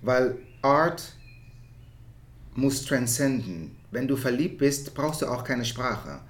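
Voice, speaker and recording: A man from the Netherlands speaks German with a Dutch accent in a reflective interview, often halting to search for words in an animated way. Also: medium pitch. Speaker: interview guest, musical theatre performer.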